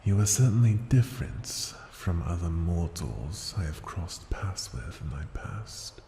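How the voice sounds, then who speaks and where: A man voicing a haughty, teasing ancient dragon in human form for an ASMR fantasy roleplay, gravelly and breathy, with condescending, seductive murmurs. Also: low-pitched, very animated, warm tone, heavily acted. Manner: condescending, taunting, drawn-out phrases dipping into breathy murmurs. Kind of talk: ASMR fantasy roleplay, slow theatrical delivery. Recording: echoing reverberant hall, background ambient noise.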